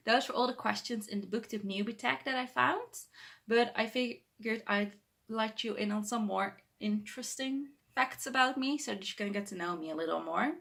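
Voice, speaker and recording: A woman's voice: a little high, moderate pace, gravelly, fairly bright tone. A young woman from the Netherlands with a Dutch accent, talking to camera in her bedroom in a hesitant, self-correcting way with shy laughs. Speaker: booktuber. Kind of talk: casual talk to camera.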